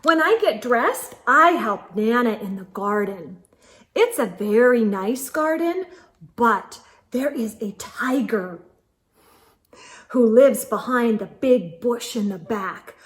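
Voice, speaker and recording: Storyteller, young woman: slow, animated picture-book reading, slightly high voice, gentle asides for small children. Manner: gentle, expressive read-aloud with soft asides.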